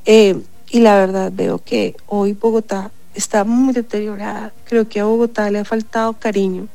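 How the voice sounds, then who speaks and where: A woman's voice: medium pitch, little pitch movement, moderate pace, gravelly, warm tone, dry recording. A woman, a city councillor, speaking emphatically in a radio interview with a congested, stuffy voice. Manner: emphatic, insistent, repeats points.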